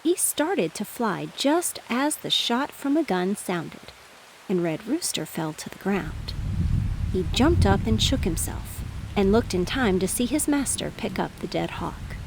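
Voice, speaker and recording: A woman's voice: mid-range voice, sing-song, unhurried, gravelly. A woman reading a bedtime storybook aloud as a scripted narration. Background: rain, thunder and soft hiss.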